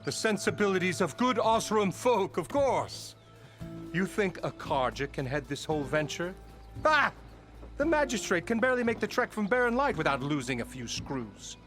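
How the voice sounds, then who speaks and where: An actor playing a pompous, self-important labour boss in a fantasy video game, bombastic, theatrical and mock-courteous in manner. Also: male voice, high-pitched, big pitch swings, moderate pace, over music. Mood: righteous bluster. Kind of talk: video game character acting.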